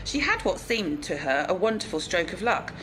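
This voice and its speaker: A woman playing a stern, quietly moralising police inspector, measured and matter-of-fact, in an amateur radio drama. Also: on the low side, very animated, noisy background. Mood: accusing, measured.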